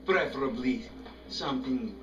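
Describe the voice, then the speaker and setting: An actor playing a weary, stern Soviet leader in a video game cutscene, formal and deliberate, coldly pressing his points. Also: medium pitch, lo-fi sound, lightly acted. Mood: grave, pressing. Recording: echoey, distant game audio under music.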